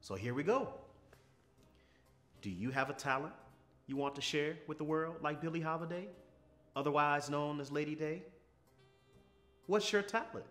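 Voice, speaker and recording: A man in his thirties reading a story aloud to children in a measured, expressive way. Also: fairly high pitch, soft background music. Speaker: story reader. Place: small furnished room, indoors.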